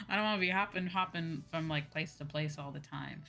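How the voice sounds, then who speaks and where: A woman's voice: deep voice, normal speed, gravelly, sharp tone. A young woman, a livestream host chatting casually in an informal, rambling way and repeating phrases for emphasis. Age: young adult. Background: background music.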